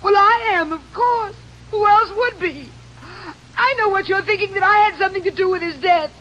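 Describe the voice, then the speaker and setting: Very high-pitched, overwrought widow. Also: female voice, moderate pace. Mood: indignant, hysterical. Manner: overwrought, sharp-tongued. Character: distraught, defiant newly widowed ranch wife. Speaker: actress in a radio crime drama. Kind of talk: melodramatic old-time radio acting. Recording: dull, noisy vintage radio recording.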